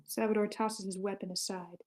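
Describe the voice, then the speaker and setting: A woman reads stage directions at a screenplay table read. Her mid-pitched voice stays fairly flat, moving matter-of-factly through short scripted sentences. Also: moderate pace, a little hiss or hum. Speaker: narrator reading stage directions.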